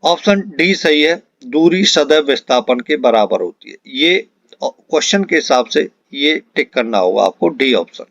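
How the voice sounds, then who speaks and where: A man teaching exam prep, reading out quiz questions and answers in an instructional way with deliberate repetition. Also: medium pitch, expressive, creaky, fairly bright tone, no room sound. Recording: dull, lo-fi close dry recording.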